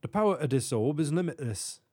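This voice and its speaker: A man's voice: on the high side, animated, a little fast, warm tone, slightly rough, pitched above the performer's own voice. A man voicing a small, frail middle-aged British professor in a storybook, fussy, prim and sly. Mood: smug explaining.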